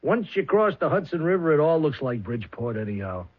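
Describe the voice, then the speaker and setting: An actor playing a flustered middle-aged talent agent who stammers and rambles, in a 1970s radio drama. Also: male voice, fairly high pitch, big pitch swings, fairly quick, husky. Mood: rambling. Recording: lo-fi old radio broadcast.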